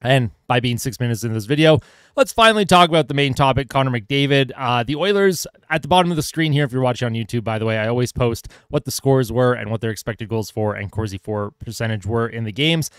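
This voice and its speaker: Smooth-voiced man hosting a hockey podcast. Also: medium pitch, fast, dry recording, studio quality. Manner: conversational, filler-heavy, opinionated. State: voice going hoarse. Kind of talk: solo sports commentary podcast.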